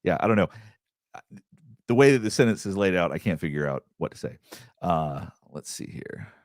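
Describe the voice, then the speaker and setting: A man's voice: low voice, lively intonation, moderate pace, no echo, studio quality. A middle-aged man, a therapist, rambling casually through viewer questions with lots of restarts and fillers. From Southeastern United States. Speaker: therapist hosting a live Q&A. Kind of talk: casual livestream chat answering viewer questions.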